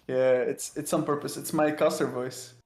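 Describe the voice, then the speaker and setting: A man's voice: fairly high pitch, fairly even intonation, normal speed, vocal fry, darkish tone, roomy sound, a little hiss or hum. A man casting a live game in a casual play-by-play way, heard through a voice call. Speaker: esports caster. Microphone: new microphone, heard through a voice call.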